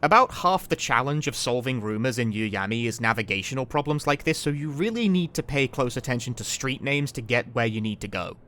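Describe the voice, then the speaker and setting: A man's voice: medium pitch, lively intonation, fairly quick, hardly any pauses, pushed and bright, a little hiss or hum. A man narrating a scripted video essay as its host, with wry, dry humor and casual asides.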